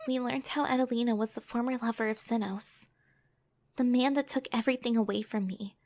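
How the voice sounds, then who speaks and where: A woman's voice: a little high, steady tone, a little fast, darkish tone, lightly acted. A woman playing a young, wounded heroine who narrates her own past in an audio drama, earnest and solemn. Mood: somber, reflective. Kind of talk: scripted first-person audio drama narration.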